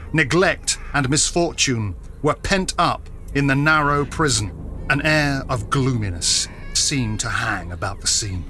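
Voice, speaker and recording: Bright-voiced man narrating with solemn drama. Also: medium pitch. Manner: solemn, measured, theatrical. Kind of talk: dramatic scripted narration of a period story.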